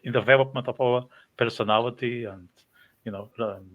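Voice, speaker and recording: A man's voice: medium pitch, fairly even intonation, a little fast, phone-quality sound. A man hosting a podcast interview, speaking hesitantly with frequent fillers and restarts. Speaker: podcast host. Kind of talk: casual interview.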